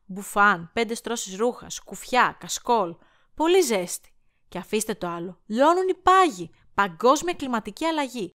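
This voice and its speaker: A flighty young woman with a high voice , acted by a woman in an audio drama. She is theatrical and cheeky, rambling on with sarcasm. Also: sing-song, a bit bright. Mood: chatty, sarcastic. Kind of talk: amateur audio drama acting.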